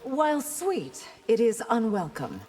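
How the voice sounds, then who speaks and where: An actress playing a stern, regal warrior princess, formal and cool, in video game banter. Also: female voice, fairly high pitch, big pitch swings, a little slow, warm tone, a little hiss or hum. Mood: dignified. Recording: long hall reverb.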